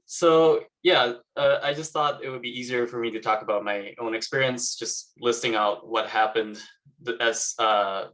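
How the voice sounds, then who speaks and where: A young man from Malaysia gives a casual talk over slides on a video call, halting often with fillers. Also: mid-range voice, a bit bright, rough recording. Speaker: alumnus speaker.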